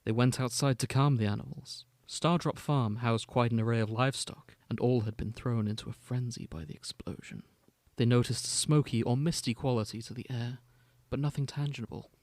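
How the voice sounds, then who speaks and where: A man's voice: mid-range voice, fairly quick, hardly any pauses, a little mellow, dry recording. Man podcasting, very dry close sound. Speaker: podcast co-host. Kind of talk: casual chat about fanfiction, with passages read aloud.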